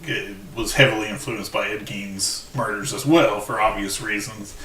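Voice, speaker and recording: A man's voice: lowish voice, steady tone, normal speed, sharp tone, far from the mic. A man from the American South co-hosting a podcast in casual conversation. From Southern United States. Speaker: podcast co-host. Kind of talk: casual chat. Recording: roomy, off-mic, busy background voices.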